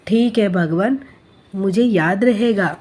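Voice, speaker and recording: Woman as an unhurried, earnest young woodcutter. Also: medium pitch, darkish tone, breathy edge. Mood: eager. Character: eager, naive young male woodcutter bird, devout. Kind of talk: Hindi cartoon story dubbing.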